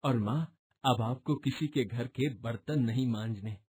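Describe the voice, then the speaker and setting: A man voicing an earnest, emotional young man from a poor family in a cartoon story, with a husky voice. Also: medium pitch, measured pace, darkish tone. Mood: proud, caring. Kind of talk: dramatic cartoon story dialogue.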